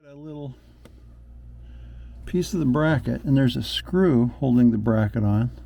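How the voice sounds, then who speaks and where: A man's voice: mid-range voice, animated, measured pace, gravelly, mellow. A man thinking aloud as he works through a boat repair, in casual DIY narration. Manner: thinking aloud while working. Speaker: boat owner doing a repair. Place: on a small boat.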